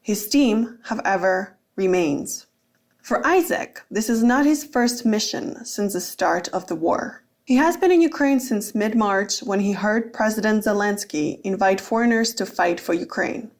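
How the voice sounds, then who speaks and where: A woman narrates a documentary podcast from a script. Her voice sits at a middle pitch for a woman, and she presents the story as its narrator. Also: animated, unhurried.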